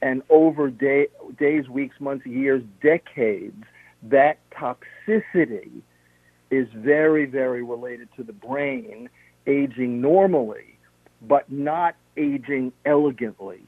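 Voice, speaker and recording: Male guest, instructive and methodical, husky tone on a narrow phone line, dry. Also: mid-range voice, takes it slowly, dry recording. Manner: steady, instructive, lists points one by one. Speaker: health practitioner guest. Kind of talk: radio interview, explaining health tips.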